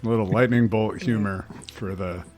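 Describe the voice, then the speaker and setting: Male panelist, somewhat low pitch, dry wry casual chat. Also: fairly even intonation, normal speed, music in the background. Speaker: podcast panelist.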